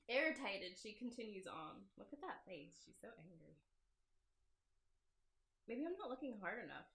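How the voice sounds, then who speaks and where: A woman's voice: on the high side, pushed and bright. Echoey-room woman, casual game playthrough. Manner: giggly, informal, self-deprecating asides. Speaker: game creator playing through own visual novel. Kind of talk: casual let's-play commentary mixed with reading game text aloud.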